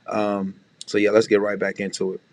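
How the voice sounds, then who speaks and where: A man with a deep voice, a music producer casually talking beginners through mixing, often saying "you know what I'm saying". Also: completely flat delivery, moderate pace, dry recording. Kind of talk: casual screen-share tutorial.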